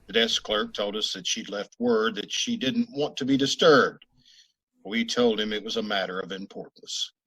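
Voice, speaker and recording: A man acting a terse, courteous police sergeant and narrator in an old radio drama, clipped and deadpan. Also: mid-range voice, rough recording. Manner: clipped, deadpan, formally polite.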